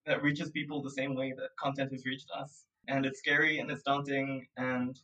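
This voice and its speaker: A man's voice: medium pitch, level tone, normal speed, sharp tone, recorded from a distance, highs cut off. A man, a guest talking reflectively in a casual remote interview, with many fillers and small laughs. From Singapore. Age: 20s. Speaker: guest, audio production founder.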